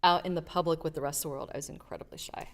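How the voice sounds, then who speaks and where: A middle-aged woman, a guest on an interview podcast, telling stories from her life in an animated way and acting out the voices in them. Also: deep voice, very fast. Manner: animated storyteller, acts out quotes. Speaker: guest, leadership advisor. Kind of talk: casual interview storytelling.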